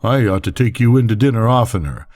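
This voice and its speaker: A man's voice: mid-range voice, expressive, fast talker, a little mellow, close to an everyday voice. An older man voicing a well-meaning, bewildered rancher husband in a story, blundering and folksy in his talk. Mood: genial.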